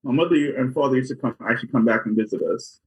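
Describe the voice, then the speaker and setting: A man's voice: mid-range voice, fast, slightly rough. A man in his forties from Guyana, a doctor telling his life story in a plain, matter-of-fact way as an interview guest. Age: 40s. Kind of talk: casual interview answers. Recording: dull lo-fi audio.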